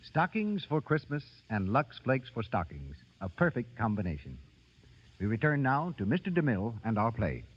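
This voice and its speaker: A man's voice: medium pitch, huge pitch swings, normal speed, slightly rough. A man announcing in a polished, formal 1930s scripted radio style. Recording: muffled 1930s radio broadcast.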